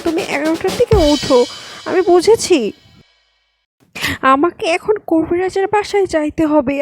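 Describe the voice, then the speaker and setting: A woman voicing a caring, anxious mother in an amateur cartoon. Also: sits high. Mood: anxious, soothing. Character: caring, anxious Bengali mother of a possessed daughter. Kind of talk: amateur animated cartoon voice acting.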